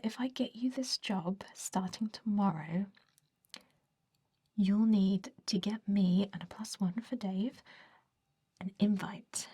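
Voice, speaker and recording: A woman voicing a scheming, chatty vampire recruiter in a whispered ASMR roleplay, with a soft breathy voice and a conspiratorial, casual manner. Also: mid-range voice. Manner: chatty, conspiratorial, hedging with likes and ums.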